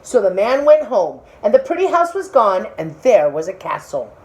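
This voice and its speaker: A woman reading a storybook aloud in an expressive style of narration for children. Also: mid-range voice, normal speed, rough recording. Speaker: storybook reader.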